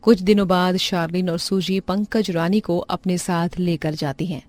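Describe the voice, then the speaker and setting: A woman's voice: lowish voice, steady tone, moderate pace, a little mellow. A woman narrating a scripted bedtime moral story as storyteller.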